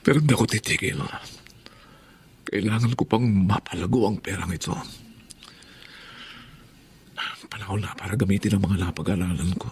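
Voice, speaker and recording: Low-voiced, earnest grown Filipino son. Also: male voice, a bit bright, slight background noise. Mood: pleased, determined. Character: earnest, dutiful youngest child, grown and self-supporting. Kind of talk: Tagalog radio drama acting with English phrases.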